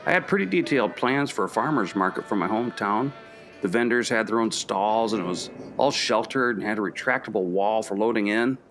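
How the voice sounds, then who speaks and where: A man's voice: on the low side, animated, fairly quick, fairly bright tone, soft background music. A man acting in a radio play as an introspective middle-aged professor, speaking in a hesitant, reflective, conversational way. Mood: wistful, reflective. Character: introspective, kindly anthropology professor, a parent.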